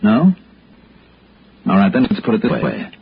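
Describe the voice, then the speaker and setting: Hard-boiled American radio detective, dull vintage sound. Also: male voice, lowish voice, huge pitch swings, normal speed, creaky, breathy edge, exaggerated voice. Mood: sardonic, pressing. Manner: clipped, wisecracking, hard-boiled. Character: tough, sardonic male freelance insurance investigator. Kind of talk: 1960s radio noir acting, wry narration. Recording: dull 1960s radio recording with music.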